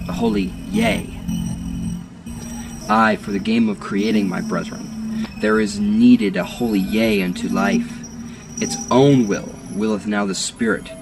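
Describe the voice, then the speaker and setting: A man giving a solemn, measured literary reading in a declamatory, rhetorical manner, as an audiobook narrator. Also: lowish voice, low-quality recording. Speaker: audiobook reader. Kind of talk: solemn literary reading of archaic philosophical text. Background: music and sound design behind the voice.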